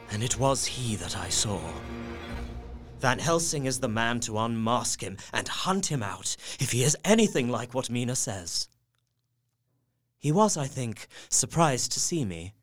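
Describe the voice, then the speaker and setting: An actor playing an earnest, newly resolute young husband, reading his journal in a measured, literary way for an audio drama. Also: medium pitch, close to an everyday voice.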